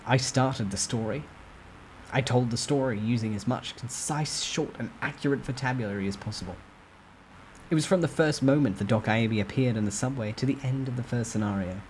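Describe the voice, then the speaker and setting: A man with a mid-range voice reads a story in the first person. His delivery is dramatic and expressive, in a storytime ASMR style. Clear noise runs behind his voice. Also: mid-range voice, brisk, poor audio. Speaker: narrator.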